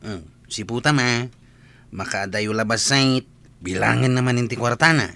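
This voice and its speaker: A man's voice: medium pitch, moderate pace, gravelly, sharp tone. A man playing a nosy village bystander gossiping about a neighbour in an Ilocano comedy radio drama. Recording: faint background noise.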